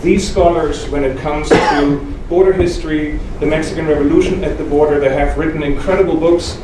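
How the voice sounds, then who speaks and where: Male historian, German accent, higher-pitched voice, addressing a live audience from off mic in a very echoey, noisy room. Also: steady tone, a little fast. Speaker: speaker, historian and author. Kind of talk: public talk to a live audience. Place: indoor museum event room with audience. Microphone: not speaking into a microphone, picked up from distance. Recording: roomy, noisy hall, off microphone, distant.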